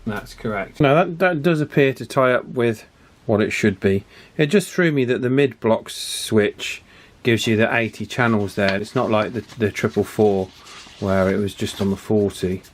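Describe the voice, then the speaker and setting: A man's voice: fairly low pitch, moderate pace. A man giving casual hands-on repair commentary, thinking aloud in a hesitant, methodical way and repeating himself as he works. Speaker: radio repair hobbyist presenting a video.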